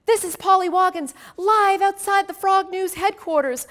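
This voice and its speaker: A woman voicing an excitable female frog TV reporter for a storybook, with a shrill, urgent on-air delivery. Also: normal speed.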